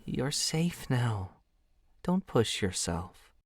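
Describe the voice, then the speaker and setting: A man voicing a gentle young game character who calms an injured stranger with soft, reassuring words. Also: medium pitch, drawn-out words, husky, no echo, full character voice. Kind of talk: voiced visual novel lines.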